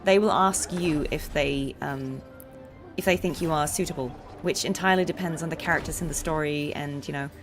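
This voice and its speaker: A young woman talks through a game on stream, her voice sitting a bit low. She rambles casually with plenty of fillers and restarts, with faint game music in the background. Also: fairly quick. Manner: chatty, thinks aloud, frequent fillers.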